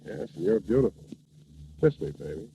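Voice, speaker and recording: An actor playing a cocky, wisecracking hard-boiled private eye, narrating haltingly and deadpan in a radio parody. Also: male voice, fairly low pitch, creaky. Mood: smooth-talking. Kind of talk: old-time radio detective parody. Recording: muffled, noisy old radio broadcast.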